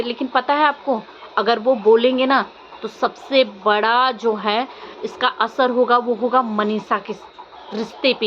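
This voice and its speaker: High-pitched young woman vlogger, muffled audio. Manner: insistent, questioning, repeats phrases. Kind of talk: casual opinion commentary to viewers. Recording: muffled lo-fi audio, faint background noise.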